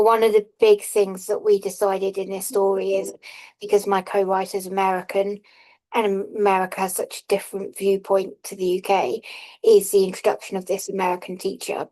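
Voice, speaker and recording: Halting woman on a video call. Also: mid-range voice, mellow, poor audio. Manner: hesitant, many fillers, self-deprecating laughs. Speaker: workshop participant. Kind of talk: casual chat in an online workshop.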